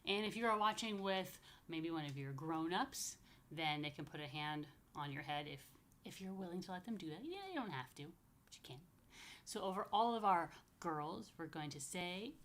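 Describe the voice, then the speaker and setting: A woman's voice: fairly low pitch, expressive, moderate pace, a bit bright, slight background noise. A woman rabbi leading a casual story time for young children, explaining things simply and repetitively with chatty, playful asides. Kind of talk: casual, child-friendly religious talk with blessings. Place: apartment.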